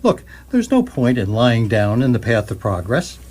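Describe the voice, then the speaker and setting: A man's voice: medium pitch, wildly swooping pitch, normal speed, darkish tone. A man playing an officious, flustered bureaucrat in a comic radio play, patronizing, evasive and often hesitant. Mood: insistent. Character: officious, flustered male bureaucrat overseeing a house demolition.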